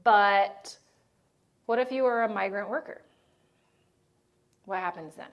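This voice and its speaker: A woman's voice: medium pitch, unhurried. A woman leading a training session on disaster assistance, talking casually and checking in with the group as she goes. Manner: conversational, checks in with "right?" and "okay?". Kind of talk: training presentation.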